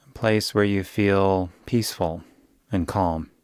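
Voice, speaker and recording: A man guiding a yoga nidra meditation in a soothing, unhurried way, giving gentle instructions with long pauses. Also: low-pitched, fairly even intonation, clean tone, no echo, studio quality. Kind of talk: guided meditation.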